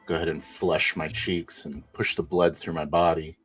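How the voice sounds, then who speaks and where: A man playing a tabletop roleplay, narrating his character's actions in the first person with hesitant, pausing phrasing. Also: low-pitched, level tone, a bit bright, faint music behind, poor audio. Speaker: roleplay player.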